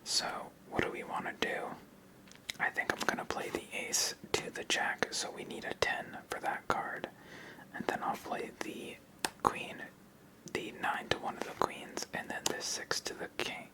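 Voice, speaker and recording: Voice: unhurried, low-quality recording. ASMR host for solo card game play-through, fully whispered delivery, explaining each move.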